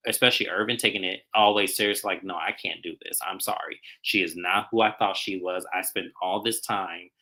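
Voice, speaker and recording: A man's voice: sits low, steady tone, fairly quick, hardly any pauses, bright, forceful tone. A man co-hosting a casual recap chat, laid-back and conversational with slangy phrasing. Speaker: co-host.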